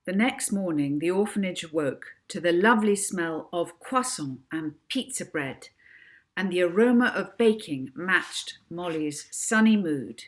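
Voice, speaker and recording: A woman's voice: fairly low pitch, animated, a little slow. A woman author narrating her own children's book, with casual asides to the listener.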